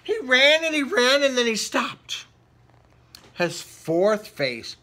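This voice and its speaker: A man's voice: very high voice, big pitch swings, takes it slowly, bright, forceful tone. A man reading a children's storybook aloud, dramatically stretching and repeating words for effect. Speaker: storybook reader. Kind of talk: animated read-aloud for children.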